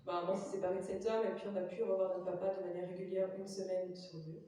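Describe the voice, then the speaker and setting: A young woman from Belgium with a Belgian French accent, giving a halting personal testimony in a chapel, pausing often with fillers. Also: on the low side, little pitch movement, dark tone, a little hiss or hum. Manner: hesitant, many fillers. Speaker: testimony giver. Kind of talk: personal testimony in church, interpreted. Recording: echoey chapel from far off.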